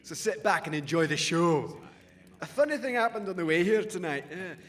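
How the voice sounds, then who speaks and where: Swaggering, high-pitched wasteland warlord. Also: male voice, lively intonation, normal speed. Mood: showboating. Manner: bullying, showboating, flips from jokey to threatening. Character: swaggering, menacing male wasteland raider chief and wannabe comedian. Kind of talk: comic audio drama acting.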